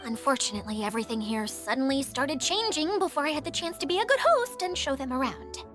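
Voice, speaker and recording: A woman's voice: high-pitched, animated, quick pace, fairly bright tone, soft background music. A woman voicing a timid, formal, easily flustered mascot caretaker in a video game, hesitant and apologetic. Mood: earnest, polite. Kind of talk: video game character dialogue.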